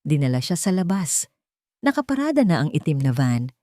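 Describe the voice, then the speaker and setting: A woman's voice: deep voice, very animated, a little fast, mellow, no echo, studio quality. A woman with a Filipino accent narrating a dramatic scripted story. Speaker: narrator of love stories.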